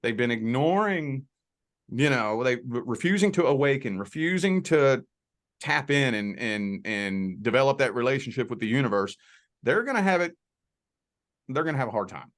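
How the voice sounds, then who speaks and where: A man's voice: medium pitch, expressive, a bit bright. Folksy man with a Southern drawl. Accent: Southern US (Kentucky border). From Southern Indiana near Louisville, Kentucky. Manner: folksy, reassuring, drawn-out emphasis. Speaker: guest, tarot reader. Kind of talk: spiritual conversation and tarot reading.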